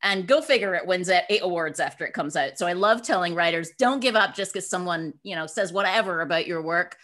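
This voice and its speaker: A woman's voice: lowish voice, lively intonation, fairly quick, a bit bright. A woman from Scotland speaking as a guest author in an interview, telling personal stories in an upbeat, effusive, emphatic way. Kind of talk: interview answers, personal storytelling.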